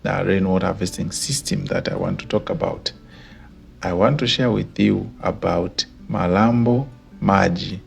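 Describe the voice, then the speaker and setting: A low-voiced man from Tanzania narrates a slideshow lesson in an earnest, explanatory way. His voice carries a heavy gravelly fry. Faint music plays underneath. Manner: earnest, explanatory, repeats points for clarity. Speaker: educator explaining water engineering.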